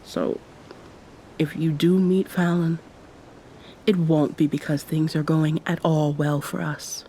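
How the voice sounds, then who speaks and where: Woman playing a soft, low, mellow pirate succubus captain. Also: flat delivery, takes it slowly, a little hiss or hum, poor audio. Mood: earnest. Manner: soft, breathy, often near-whisper, formal old-fashioned phrasing. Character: female pirate succubus captain, centuries old, affectionate, teasing, commanding. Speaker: ASMR roleplay performer. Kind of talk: intimate ASMR roleplay.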